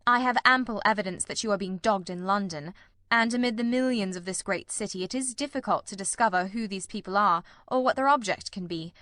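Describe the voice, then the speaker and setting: Storybook male detective played by a woman: shrewd, commanding, formal, medium pitch, dry recording. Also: pushed and bright, dry recording, close to an everyday voice. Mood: thoughtful, grave. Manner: measured, formal, authoritative. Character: shrewd, composed gentleman detective, commanding and analytical.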